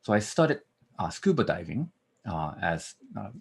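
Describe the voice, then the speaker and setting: A man's voice: deep voice, big pitch swings, unhurried, vocal fry, breathy edge. A middle-aged man from Japan with a Japanese accent, giving an academic lecture over a video call, earnest and full of "uh" fillers. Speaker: guest lecturer.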